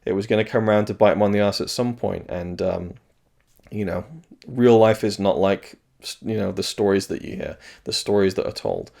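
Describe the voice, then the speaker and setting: A slightly hoarse man reviewing video games in a casual, rambling solo talk, thoughtful and full of restarts. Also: lowish voice, fairly even intonation, moderate pace, a little mellow.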